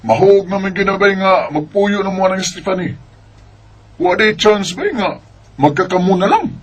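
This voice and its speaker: A man plays a jokey Bisaya sidekick in a radio drama. He has a high-pitched voice and a playful, nudging way of talking. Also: expressive, moderate pace. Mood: teasing. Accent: Filipino, Bisaya. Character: teasing, jokey male buddy of the hero. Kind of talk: amateur radio drama acting.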